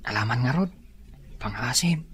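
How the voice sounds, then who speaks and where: A man's voice: medium pitch, expressive, normal speed, a bit bright, slightly rough. A man with an Ilocano accent acting an earnest son seeking a lost twin in a radio drama. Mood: earnest, pleading.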